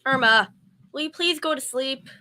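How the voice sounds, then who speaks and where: Radio comedy straight woman female performer: young, dry, exasperated, slightly high and bright. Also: moderate pace. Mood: exasperated, soothing. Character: sensible, sarcastic young woman, exasperated roommate. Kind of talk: 1940s radio comedy acting.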